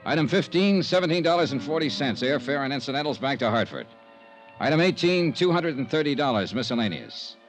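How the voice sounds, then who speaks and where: An American actor playing a hard-boiled, sardonic insurance investigator in 1950s radio drama, with clipped lines and dramatic pauses. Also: male voice, mid-range voice, sing-song, natural voice. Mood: brisk, wry narration. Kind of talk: 1950s radio detective drama acting and narration. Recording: vintage radio recording with music.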